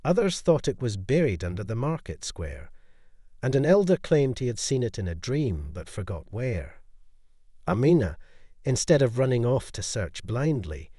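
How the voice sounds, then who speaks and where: A male storyteller reads a bedtime folktale with a lively, swooping melody. His tone is mellow and soft-edged, and his delivery is expressive and dramatic. The sound is dry and close. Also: medium pitch, normal speed, no echo. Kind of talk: scripted bedtime folktale narration.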